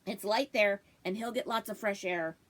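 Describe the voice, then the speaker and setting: A woman's voice: mid-range voice, moderate pace, a bit bright, natural voice. A woman voicing a mild, reasonable father in a storybook reading.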